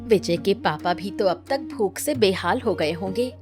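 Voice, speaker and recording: A woman's voice: medium pitch, unhurried, music in the background, lightly acted. A woman voicing cheerful, dutiful village women in a cartoon family story. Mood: pleased, homely. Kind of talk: Hindi cartoon story voice acting.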